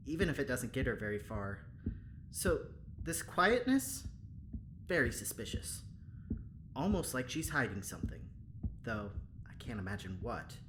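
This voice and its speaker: An actor playing a talkative, well-meaning prison guard in an audio drama, chatty and coaxing in manner. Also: male voice, medium pitch, fairly bright tone. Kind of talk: audio drama acting, spoken log. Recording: clearly noisy, ambient background.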